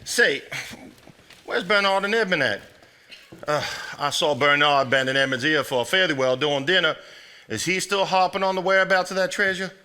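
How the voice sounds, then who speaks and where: A blunt, indignant man in a 1950s family stage play. His voice is piercingly bright and sits fairly high, with a nagging, theatrical delivery. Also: normal speed, clear, resonant tone. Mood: grumbling, folksy. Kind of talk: live stage acting.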